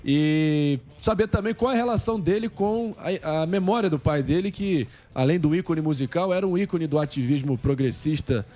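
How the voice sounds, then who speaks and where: A Brazilian man with a mellow, somewhat high voice puts questions to a guest as a talk show panelist. His phrasing is casual and spoken, with faint music behind him.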